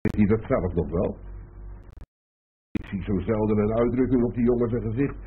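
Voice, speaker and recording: A man's voice: fairly low pitch, moderate pace, lightly acted. Wry middle-aged father, thin old radio sound, played by a man. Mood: dry irony. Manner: dry, ironic, hesitant asides. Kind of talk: radio drama acting. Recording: muffled narrowband old radio recording, faint noise.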